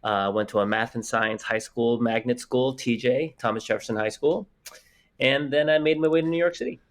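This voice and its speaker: A man's voice: mid-range voice, normal speed. A man in his forties, born in the Philippines and raised in Virginia, speaking. He is an actor talking about his life in an interview. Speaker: guest actor. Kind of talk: interview conversation.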